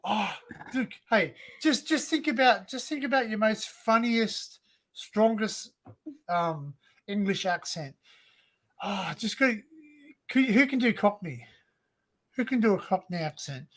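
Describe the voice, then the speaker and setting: An Australian man hosting a casual livestream chat, rambling and joking in an excitable way. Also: high-pitched, unhurried, pauses often. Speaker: livestream host.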